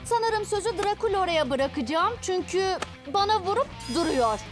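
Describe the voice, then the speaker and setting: A woman's voice: extremely high pitch, animated, normal speed, loud music behind the voice. A woman voicing a confident, chatty teenage werewolf schoolgirl in a cartoon dub. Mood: chatty, friendly. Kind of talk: animated cartoon dubbing.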